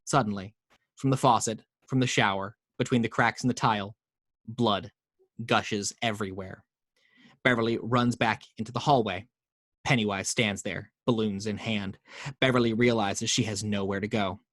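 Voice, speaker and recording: A man's voice: lowish voice, fairly even intonation, normal speed, a bit bright, no room sound. Man reading screenplay action lines.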